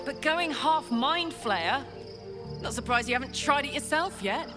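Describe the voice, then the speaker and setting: An actress playing a brash but warm-hearted young warrior woman with a painful past in a video game, speaking in an informal, emotive, heartfelt way. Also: high voice, animated, a little slow. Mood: teasing. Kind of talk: video game character acting. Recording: game music behind the voice.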